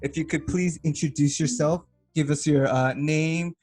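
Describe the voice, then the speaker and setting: A man's voice: on the high side, steady tone, normal speed, darkish tone, faint music behind. A man hosting a podcast in a casual, chatty interview style, with a teasing, joking manner. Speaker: podcast host.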